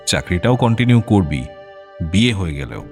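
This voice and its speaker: A man's voice: sits low, big pitch swings, normal speed. A man acting in an audio drama as a supportive young fiancé, plain-spoken, reassuring and firm. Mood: firm, protective. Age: young adult. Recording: prominent music bed under the voice.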